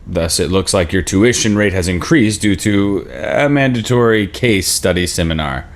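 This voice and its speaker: A man playing a smug, officious financial aid advisor in his thirties, talking like an unhurried bureaucrat at a script table read. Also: deep voice, expressive.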